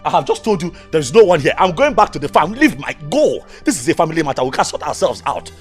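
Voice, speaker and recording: A man's voice: on the high side, very animated, fast, soft background music. A man playing a frustrated village husband who complains in exasperation. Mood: exasperated.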